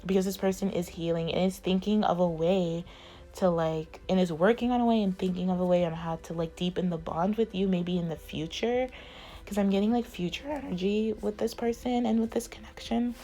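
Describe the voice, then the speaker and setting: A woman's voice: on the low side, fairly even intonation, fairly quick, mellow, faint music behind. A woman giving a casual tarot reading, rambling with many fillers while losing her voice. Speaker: tarot reader.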